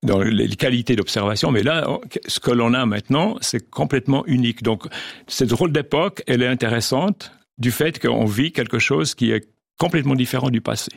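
A man's voice: medium pitch, fairly quick, creaky, no room sound, clean studio sound. An older man, a geologist and oceanographer, explains his research in a radio interview, hesitant with many restarts.

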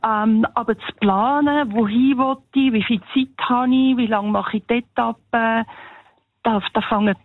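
A woman's voice: fairly high pitch, unhurried, no echo, phone-quality sound. A woman with a Swiss German accent, an interview guest casually telling personal experiences, in band-limited sound.

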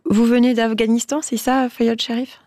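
A woman hosting a radio interview, asking encouraging, gently probing questions. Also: a little high, level tone, normal speed, no echo, studio quality. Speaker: radio host.

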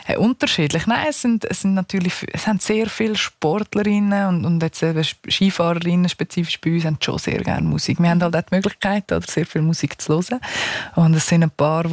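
A woman's voice: lowish voice, darkish tone, no room sound. A young adult woman with a Swiss German accent, a ski racer answering interview questions in a reflective, casual way, often restarting sentences.

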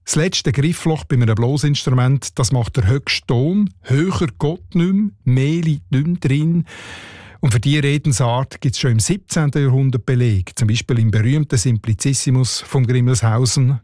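A man with a Swiss German accent walks through old sayings in a calm, explanatory tone. His voice sits at a middle pitch. The studio sound is close and dry. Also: no echo. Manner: explanatory, measured storytelling. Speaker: radio dialect reporter. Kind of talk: scripted radio feature. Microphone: studio microphone.

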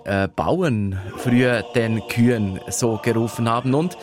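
A man with a Swiss German accent presenting a radio magazine from a studio, chatty and quick to laugh between items. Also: mid-range voice, over music. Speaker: radio host.

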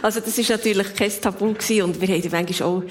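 Interview guest: Swiss-accented woman, fifties, mid-pitched, casual storyteller, thoughtful and quick to laugh. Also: fairly even intonation. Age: 50s. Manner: reflective, laughs easily.

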